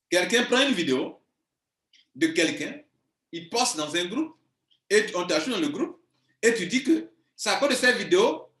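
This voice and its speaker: Man, 40s, business coach; high-pitched, insistent, repeats points, roomy echo. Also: pushed and bright. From Ivory Coast. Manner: insistent, emphatic, repeats himself. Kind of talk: video monologue to viewers. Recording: echoey room.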